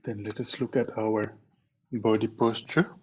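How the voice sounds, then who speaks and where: Low-pitched man slowly guiding meditation, muffled sound. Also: slight background noise. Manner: measured, calm, with long pauses between phrases. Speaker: meditation teacher leading a guided session. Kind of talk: guided meditation instruction. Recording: dull, muffled online meeting audio.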